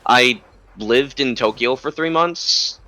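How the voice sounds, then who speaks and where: A man, chatting casually and telling stories in an animated way. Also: medium pitch, slow pace. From United States. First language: English. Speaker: guest chatting with the streamer. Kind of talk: casual chat.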